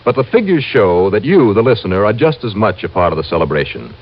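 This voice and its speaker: Old radio detective, dry and unruffled, lowish voice but lively, muffled sound. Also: male voice, fast talker. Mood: smooth announcing. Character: cool, wry, tough male insurance investigator. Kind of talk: vintage radio detective drama acting and narration. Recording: muffled, hissy old-time radio recording.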